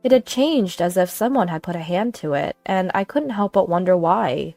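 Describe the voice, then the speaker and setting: A woman's voice: fairly low pitch, animated, normal speed, gravelly, a little mellow, dry recording, faint music behind. A woman reading scripted first-person dramatic narration as a storyteller.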